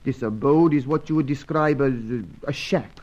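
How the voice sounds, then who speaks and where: A man's voice: mid-range voice, normal speed, a little mellow, muffled recording, natural voice. A man playing a loyal, shady South American manservant in a spy radio serial, speaking in formal, ornate, deferential phrases. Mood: sly, grave. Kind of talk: old-time spy radio serial acting.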